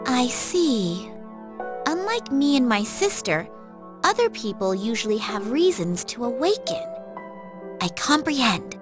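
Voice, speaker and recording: A polite android girl from an anime game, stiff and formal in wording. The voice is highish with wide pitch movement, over prominent music. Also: big pitch swings, measured pace. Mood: earnest, curious. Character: polite, literal-minded female android girl.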